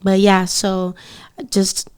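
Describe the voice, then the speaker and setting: A young woman from Puerto Rico, a singer and podcast guest, talking candidly in a relaxed, slangy way. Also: medium pitch, slow, smooth. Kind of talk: casual interview chat.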